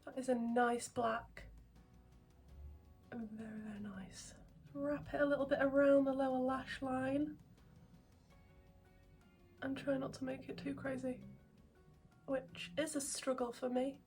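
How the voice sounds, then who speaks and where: A woman who is getting over a cold, chatting excitedly through a makeup vlog at home, full of ums and sometimes dropping to a near-whisper. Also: sits high, a little slow, pauses often, soft background music. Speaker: makeup vlogger. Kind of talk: casual beauty vlog chat. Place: indoors at home, filming makeup at a mirror.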